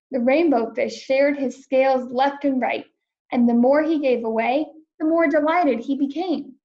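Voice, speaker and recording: A woman reading a children's picture book aloud, narrating in a clear, expressive storytelling manner. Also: high-pitched, lo-fi sound. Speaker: storybook reader.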